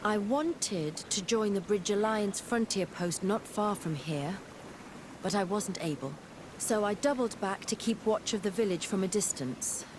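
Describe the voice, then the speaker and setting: An actress playing a determined, wary scientist-explorer in a fantasy game, earnest and articulate. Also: female voice, medium pitch, measured pace, noisy background, close to an everyday voice. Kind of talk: scripted game cutscene acting.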